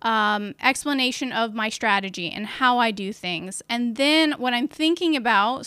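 A woman hosting her own podcast on a live stream, chatty and upbeat, with a loud voice and lots of fillers, talking into a studio mic at home. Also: a little high, clear, resonant tone, dry recording. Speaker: podcast host. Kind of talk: casual live-stream chat. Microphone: studio mic with portable recorder.

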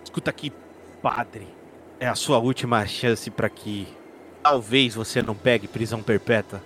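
A man's voice: on the low side, moderate pace, fairly bright tone, slight background noise, voice pushed down, lightly acted. A man playing a stern, righteous detective in a tabletop game, speaking with contempt and menace. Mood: menacing. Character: stern, righteous male detective scolding a culprit.

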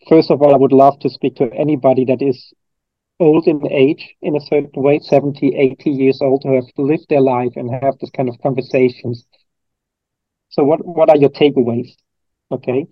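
A man's voice: mid-range voice, steady tone, moderate pace, no echo, highs cut off. A man from Germany, a coach and trainer answering interview questions in a thoughtful, rambling way, often restarting his sentences.

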